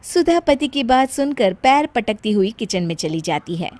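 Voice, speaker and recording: A woman's voice: fairly high pitch, big pitch swings, moderate pace, darkish tone, no room sound. A woman narrator reading a scripted story for a cartoon.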